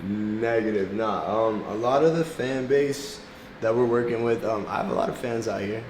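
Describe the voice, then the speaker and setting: A man's voice: on the low side, moderate pace, a little mellow. Chill young guy, portuguese first language, slangy and laughing a lot, echoey noisy room. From South Florida, United States. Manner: laid-back, slangy, laughs often. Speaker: music artist guest. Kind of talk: casual interview chat. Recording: echoey room with background noise.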